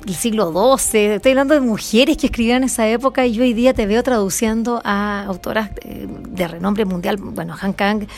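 A woman's voice: mid-range voice, moderate pace, few pauses, darkish tone, faint music behind. A woman, interviewing with hesitant, winding questions full of fillers.

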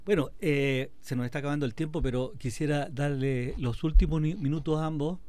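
A man hosting an interview, speaking in a reflective, measured way. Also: on the high side, darkish tone. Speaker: interview host. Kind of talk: conversational interview.

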